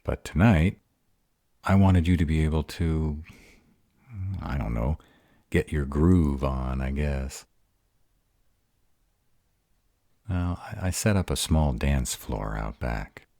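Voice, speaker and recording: A man voicing a bashful, awkward male were-dragon in love, halting and earnest, in a romantic roleplay audio. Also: rumbling low, creaky, dark tone, dry recording, studio quality, full character voice. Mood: bashful, nervous.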